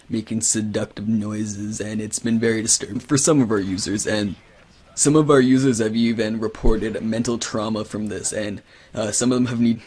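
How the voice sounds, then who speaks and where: A man acting as a stern website manager on a prank phone call. His voice is fairly low and quite husky, and he leans on official-sounding, mock-formal phrases. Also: darkish tone. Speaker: prank caller.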